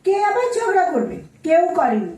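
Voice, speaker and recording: A woman's voice: extremely high pitch, wildly swooping pitch, normal speed, darkish tone, full character voice. A woman acting a petulant young married daughter in an audio drama, huffy and complaining about her in-laws. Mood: irritated. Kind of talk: Bengali audio-play acting on stage. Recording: echoey room, distant mic.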